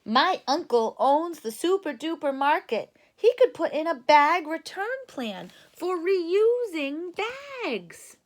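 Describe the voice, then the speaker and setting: A woman's voice: very high voice, very animated, slow, hardly any pauses, voice pushed up, full character voice. A woman voicing a cocky, tough boy bear cub who leads a storybook gang, speaking with emphatic swagger. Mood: eager.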